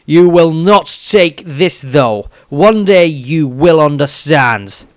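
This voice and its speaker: A man's voice: fairly high pitch, lively intonation, slow, no room sound, clean studio sound, voice pushed up. A man voicing an angry old halfling father who scolds and commands in a fantasy story reading. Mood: stern, commanding.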